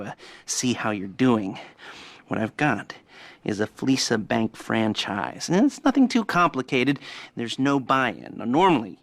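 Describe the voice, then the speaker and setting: An actor playing a sly middle-aged heist planner in a video game, rambling, giggling and teasing with creepy innuendo. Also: male voice, mid-range voice, fairly bright tone. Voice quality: giggling, rambling with many pauses. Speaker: heist mission giver. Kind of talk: video game cutscene dialogue.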